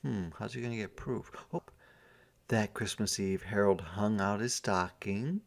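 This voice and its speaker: Guy teacher doing a kids read aloud, slow, gravelly voice, stops to explain stuff. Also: on the low side. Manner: pauses to ask questions and explain words simply. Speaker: teacher reading aloud to children. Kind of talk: interactive storybook read-aloud.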